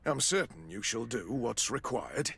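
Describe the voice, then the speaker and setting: An actor playing a smooth-talking, evasive official in a video game, formal and polite but demanding. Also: male voice, medium pitch, sing-song, a little slow, noisy background. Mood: formal, satisfied. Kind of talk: video game cutscene acting.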